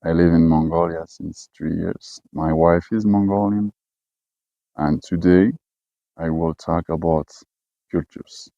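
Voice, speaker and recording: A man from French-speaking Switzerland with a Swiss French accent, talking casually in halting English with many fillers and restarts. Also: deep voice, fairly even intonation, gravelly, warm tone, no room sound, lo-fi sound. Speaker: expatriate herder. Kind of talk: casual personal talk.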